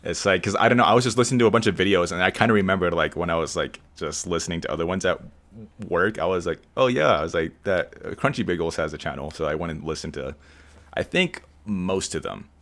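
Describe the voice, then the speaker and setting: A man hosting a casual podcast chat, who edits the show, rambling along and describing his own voice as monotone. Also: low voice, fast talker. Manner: relaxed, rambling, says he has a monotone voice. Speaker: podcast host and editor.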